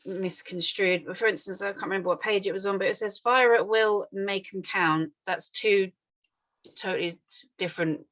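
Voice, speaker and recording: A woman's voice: mid-range voice, moderate pace, a bit bright. A woman giving informal feedback as a script panel reader, hesitant, rambling and apologetic. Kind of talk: informal spoken critique.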